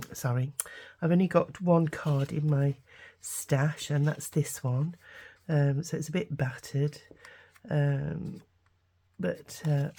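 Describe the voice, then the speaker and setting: Woman, hoarse and gravelly from a cold, low flat voice, slow hesitant crafting chat. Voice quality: gruff, gravelly. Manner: hesitant, many ums and restarts, mutters while working. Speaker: craft channel host. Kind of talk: casual crafting tutorial.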